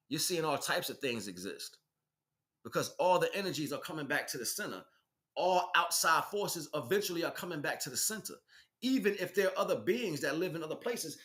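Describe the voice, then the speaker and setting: A man's voice: fairly high pitch, expressive, brisk, a bit bright. A man giving an unscripted spiritual teaching as a livestream host, speaking emphatically and repeating phrases for stress. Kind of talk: unscripted spiritual monologue, preaching.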